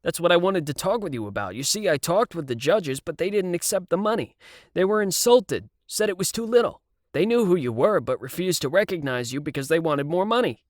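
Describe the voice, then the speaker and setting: A man's voice: on the high side, expressive, a little fast, no room sound, clean studio sound, voice pushed up, close to an everyday voice. A man reading aloud and voicing a nervous, evasive male TV producer. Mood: wheedling.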